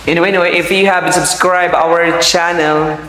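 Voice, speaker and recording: A man's voice: fairly high pitch, little pitch movement, a little fast, bright, forceful tone. A man with a Filipino accent hosting a casual property tour, chatting informally to viewers as he shows the rooms. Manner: informal, mixing Tagalog and English, addressing viewers as guys. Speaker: property tour host, real estate seller. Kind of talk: casual house tour vlog narration. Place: indoors in an empty new house. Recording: echoey bare rooms, music underneath. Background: background music.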